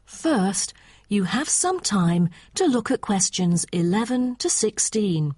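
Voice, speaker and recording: Female exam narrator, very slow, husky, formal, scripted instructions, dry close sound. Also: mid-range voice, sing-song, darkish tone, dry recording. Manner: formal, deliberate announcing.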